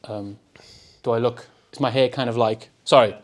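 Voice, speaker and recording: A young Welsh man hosting a podcast, chatting casually with jokes and quick laughs. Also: mid-range voice, steady tone. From Wales. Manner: joking, rambling, quick laughs. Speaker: podcast host. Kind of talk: casual chat.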